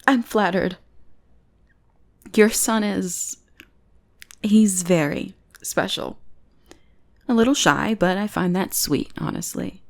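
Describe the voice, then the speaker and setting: A woman's voice: mid-range voice, slow, long pauses, a little mellow. A young woman playing a teasing, possessive goth bully who is secretly smitten, in an ASMR roleplay. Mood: sweetly polite. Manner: playful, taunting, drops into breathy whispers.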